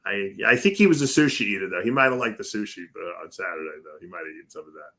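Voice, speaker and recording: A man hosting a casual talk radio show, telling stories in an animated way and acting out other people's voices. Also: fairly low pitch, fast. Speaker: host.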